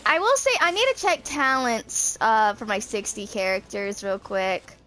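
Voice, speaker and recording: A woman in her twenties with a fairly high voice talks through her gameplay. She rambles casually and thinks aloud, as a streamer does while sorting out what to do next. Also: big pitch swings, normal speed, pushed and bright, rough recording. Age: 20s. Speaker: video game streamer.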